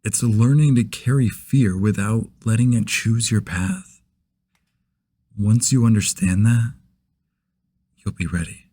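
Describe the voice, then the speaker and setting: A man voicing an earnest, steady motivational narrator, reading inspirational script deliberately. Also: on the low side, slightly rough, dry recording. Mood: solemn, earnest.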